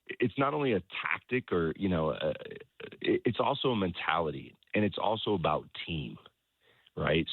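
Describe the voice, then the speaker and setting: A man speaking as a soccer coach in an interview, explaining things in a halting way, repeating words and often checking in with the listener. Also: low voice. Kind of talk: conversational sports interview, explaining his coaching philosophy. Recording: roomy echo, dull lo-fi sound.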